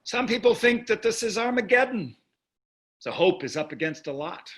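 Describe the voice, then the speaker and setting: An actor in a staged play reading, playing a wealthy older husband who is dry, teasing and condescending. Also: male voice, high-pitched, lively intonation, normal speed, fairly bright tone. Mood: dismissive. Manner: dry, needling, condescending endearments.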